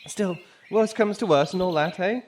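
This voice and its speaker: A man playing an anxious, melodramatic rich gentleman in an audio drama, with theatrical exclamations and old-fashioned phrasing. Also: high-pitched, normal speed, a little hiss or hum, close to an everyday voice. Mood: fretful, wistful.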